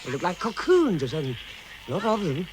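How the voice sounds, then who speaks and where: A man's voice: high voice, big pitch swings, brisk, low-quality recording. A man playing a timid but plucky hobbit adventurer in a vintage British radio drama, stammering and exclaiming to himself. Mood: alarmed. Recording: vintage radio recording with loud background noise.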